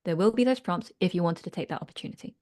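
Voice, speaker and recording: A woman's voice: on the low side, very fast, a little mellow. Woman leading an online workshop. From United Kingdom. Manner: earnest, reflective, checks in with listeners. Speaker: workshop leader and business coach.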